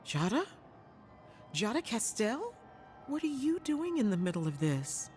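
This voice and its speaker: A no-nonsense caring healer, voice swings up and down a lot, game scene, faint music. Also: female voice, fairly high pitch, sing-song, a little slow, darkish tone. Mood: blunt, concerned. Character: blunt, scolding but caring field healer woman. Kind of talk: dramatic video game cutscene acting.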